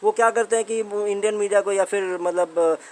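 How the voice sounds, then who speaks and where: A man's voice: sits high, steady tone, fairly quick, a little hiss or hum. A man with an Indian accent giving informal explainer commentary, conversational, often repeating his points and putting rhetorical questions to the listener. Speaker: host of a commentary channel on a company's updates.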